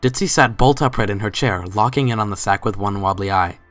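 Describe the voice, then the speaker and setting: A low-pitched man narrates a story in a scripted dramatic reading. The recording is dry and close, with music playing underneath. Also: fairly quick, a bit bright, no room sound.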